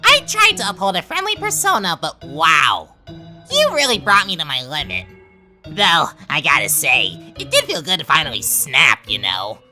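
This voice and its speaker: Scheming flower villain, game dub: very sharp, sarcastic, hugely animated fake best friend. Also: female voice, deep voice, moderate pace, faint music behind, full character voice. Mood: bitter, gloating. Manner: taunting, sarcastic, theatrical. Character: manipulative, two-faced talking flower posing as best friend. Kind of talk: dramatic game fan dub.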